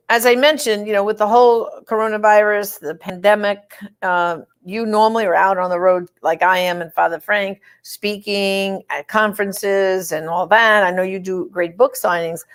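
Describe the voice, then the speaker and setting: A woman from Brooklyn hosts a casual chat, her New York accent plain in every phrase. She talks in a chatty, animated way, jumping in with quick remarks. Her pitch sits in the middle for a woman. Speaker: host.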